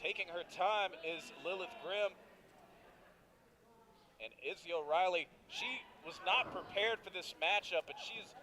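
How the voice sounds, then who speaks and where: A man's voice: high voice, a little slow. An American man calling live wrestling play-by-play in an emphatic, dramatic announcer delivery. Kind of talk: live excited sports commentary. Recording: loud music behind, live event. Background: loud music at a live wrestling event.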